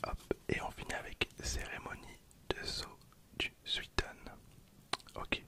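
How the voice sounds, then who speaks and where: A man in his twenties whispering softly as an ASMR host, hesitant and often repeating his words. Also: measured pace. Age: 20s. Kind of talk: whispered ASMR card-opening commentary.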